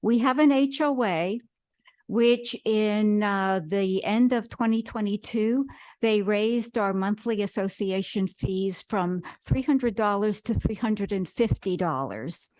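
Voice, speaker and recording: A woman testifies before a committee in a measured, formal manner, laying out details point by point with small hesitations. Her mid-range voice sounds dry and close at a podium microphone. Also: mid-range voice, a little mellow, dry recording. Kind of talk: public testimony. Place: legislative hearing room.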